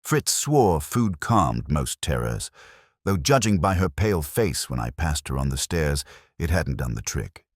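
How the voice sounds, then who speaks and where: A man's voice: very deep voice, sing-song, a little slow, slightly rough, no room sound, clean studio sound. A man narrating a hardboiled detective story as a scripted audiobook, in a wry, dramatic manner.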